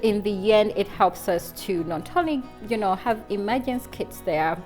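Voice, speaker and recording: A woman's voice: mid-range voice, normal speed, a little mellow, faint music behind. A woman from Uganda with a Ugandan accent, chatting and explaining casually as a guest cook. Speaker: charity staff member, guest cook. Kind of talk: casual cooking chat and explanation.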